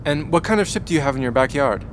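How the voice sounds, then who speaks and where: A man playing an earnest, anxious young space traveler with a halting manner in an audio drama. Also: a little high, lively intonation, slight background noise, close to an everyday voice. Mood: unsure, worried. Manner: hesitant, halting, unsure.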